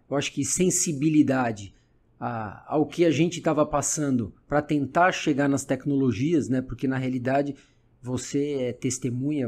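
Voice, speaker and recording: A man's voice: medium pitch, warm tone. A middle-aged man hosting a podcast, speaking reflectively with many hesitations and fillers. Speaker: podcast host, dentist. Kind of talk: casual interview conversation.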